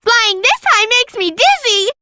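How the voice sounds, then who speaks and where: A performer voicing an excitable, cheerful little boy bee with a squeaky voice in a video game. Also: unhurried, no echo, studio quality, heavily acted. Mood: excited.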